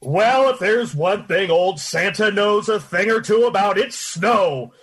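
An actor playing a jolly but weary old department store Santa, folksy and hearty, in an old-time radio drama. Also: male voice, high-pitched, expressive, a little slow, a bit bright, husky, heavily acted.

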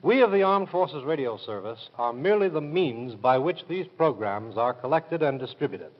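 An American man giving a formal, scripted 1940s radio address as an armed forces officer, speaking in earnest, measured oratory. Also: medium pitch, expressive. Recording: dull, band-limited 1940s radio recording.

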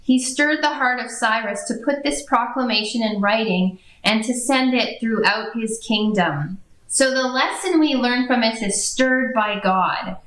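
A woman's voice: a little high, a little slow, a bit bright. A woman co-hosting a Bible study discussion, earnest, reading scripture aloud and posing questions. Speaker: co-host. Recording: echoey room, far from the mic.

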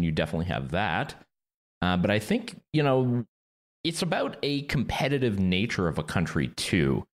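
A very deep-voiced man thinks aloud on a podcast as co-host. He wanders into asides and lets out light chuckles. His pace stays relaxed and conversational. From Quebec, Canada. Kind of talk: casual discussion.

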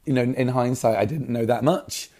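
A man with a slightly raspy voice, talking casually and keenly as an interview guest about his work. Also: medium pitch, brisk, dark tone. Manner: enthusiastic. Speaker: hairdresser, salon owner, interview guest.

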